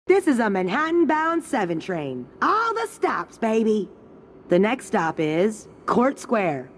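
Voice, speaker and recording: A woman's voice: fairly high pitch, big pitch swings, takes it slowly, a little hiss or hum. A woman giving playful recorded subway announcements.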